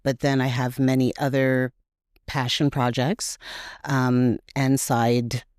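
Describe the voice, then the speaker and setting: A middle-aged woman from Montreal, a podcast guest who talks through her life in a relaxed, reflective way with many pauses. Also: rumbling low, dry recording, studio quality. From Montreal, Canada. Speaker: podcast guest, grief support group co-founder. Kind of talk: casual interview conversation.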